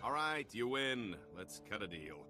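Sly smarmy alien bad guy from a video game, swingy pitch, music underneath. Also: very animated, unhurried. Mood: sly bargaining. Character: sly male alien villain, cornered and bargaining.

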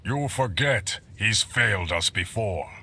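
A man's voice: on the low side, expressive, a little slow, creaky, heavy background noise. A man playing a stern, distrustful warrior emperor in a video game, speaking formally and solemnly.

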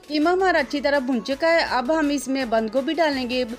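A woman's voice: sits high, a little fast, faint music behind. A woman, hosting a cooking channel and narrating each step politely in a voice-over. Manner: step-by-step, polite, religious greetings.

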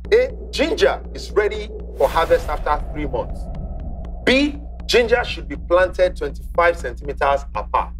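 A middle-aged man hosting a television quiz show in a studio, theatrical, drawing out key words, on a lapel mic. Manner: theatrical, swings pitch and drags out key words. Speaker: game show host. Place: indoor TV studio set. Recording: faint music behind.

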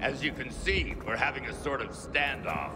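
A man's voice: medium pitch, sing-song, moderate pace, background noise, close to an everyday voice. An actor playing a composed, calculating middle-aged male military commander in a video game. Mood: calm, menacing. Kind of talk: dramatic video game acting.

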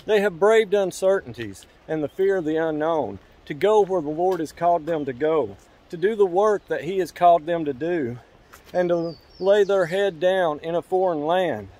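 A man in his forties delivering an earnest scripted monologue as a church vlog host, in measured, preacherly phrases. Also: a little high, expressive, warm tone, poor audio. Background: instrumental music.

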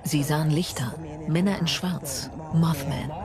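A woman's voice: low-pitched, measured pace, over loud music. A woman giving scripted eyewitness testimony as a voice-over in a documentary.

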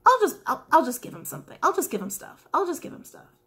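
A young woman with a raspy voice giving a casual tarot reading to camera from a small indoor room, chatty and giggly, with apologetic asides. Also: high voice, wildly swooping pitch, fast, a bit bright. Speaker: tarot reader.

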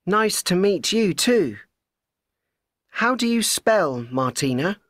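A woman's voice: mid-range voice, big pitch swings, slow pace, dry recording, studio quality. A woman voicing a friendly sixteen-year-old boy from Spain in a scripted textbook dialogue, carefully spelling out letters. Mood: plain.

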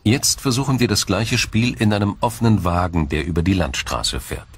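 Low-voiced male documentary narrator. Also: slightly rough. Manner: measured, explanatory, formal delivery.

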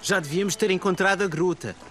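A man's voice: high-pitched, fast talker, fairly bright tone. A man voicing a good-natured young father in a children's cartoon, with a European Portuguese accent. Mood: friendly, encouraging.

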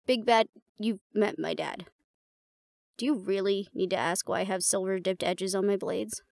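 A woman's voice: medium pitch, steady tone, normal speed, vocal fry, a little mellow, no room sound, close to an everyday voice. A woman playing a confident, teasing monster hunter in an audio roleplay, with a wry, coaxing manner. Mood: wry, affectionate. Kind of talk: intimate scripted audio drama acting.

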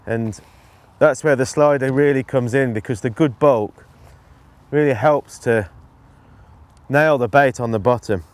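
Unhurried middle-aged man outdoors. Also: mid-range voice. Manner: unhurried, halting phrases while busy. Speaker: angling instructor, presenter. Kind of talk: relaxed instructional commentary while fishing. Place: outdoors on an open lakeside bank.

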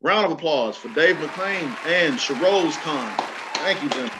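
A man's voice: on the high side, animated, moderate pace, very noisy. A man hosting a casual interview over a video call, easygoing and friendly, often ending his lines with "man". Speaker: host.

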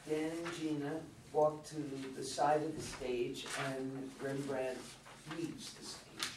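Slightly high male reader, echoey room. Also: steady tone, takes it slowly. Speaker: reader of stage directions. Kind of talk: plain script reading and audience question. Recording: roomy, distant mic, faint noise.

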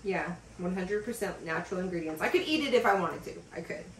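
A woman in her thirties chatting in a casual vlog as she unboxes packages in a home office, gushing and excited, with lots of fillers. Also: on the low side, quick pace, recorded from a distance, slight background noise. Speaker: vlogger. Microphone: camera across a desk. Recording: roomy sound from off the mic.

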